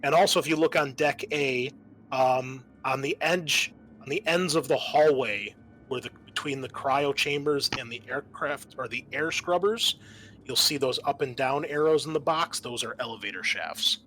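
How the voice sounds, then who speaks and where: Bright-voiced man running a tabletop game. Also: medium pitch, normal speed, a little hiss or hum, poor audio. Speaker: game master. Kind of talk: explaining a tabletop roleplaying game over online play.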